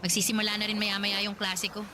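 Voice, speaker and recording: A woman's voice: mid-range voice, level tone, fast, noisy background, natural voice. An actress playing a brash, chatty young college girlfriend who complains and dreams of riches in a Tagalog radio drama. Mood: chatty, needy.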